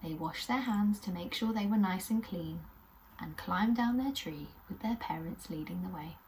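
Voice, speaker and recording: A woman's voice: on the low side, moderate pace, darkish tone, a little hiss or hum. A British woman presenting and narrating a children's story in a friendly, encouraging way. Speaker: children's puppet show host and storyteller.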